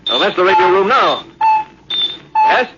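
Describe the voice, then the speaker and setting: An actor playing an eager, alert spaceship officer giving reports in a 1950s radio drama. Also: male voice, sits high, wildly swooping pitch, measured pace, full character voice. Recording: dull, very noisy old radio recording.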